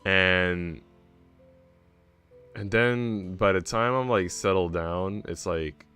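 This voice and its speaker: A man's voice: low-pitched, fairly bright tone. A man streaming, chatting in a slangy, rambling way between bits of a visual novel he is reading. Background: game music.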